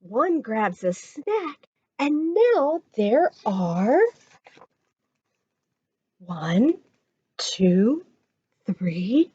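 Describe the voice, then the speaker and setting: A woman's voice: on the high side, sing-song, extremely slow, long pauses, darkish tone, slightly rough, dry recording. An American woman leading children's storytime, reading and counting rhymes in an encouraging, sing-song manner, talking straight to the kids. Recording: dull lo-fi audio.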